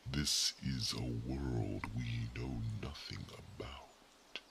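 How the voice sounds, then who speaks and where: A man voicing an ancient, wise male guardian who is not human, in an ASMR roleplay. He whispers his grave, measured counsel softly. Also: very deep voice, vocal fry, slight background noise, exaggerated voice. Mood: solemn counsel.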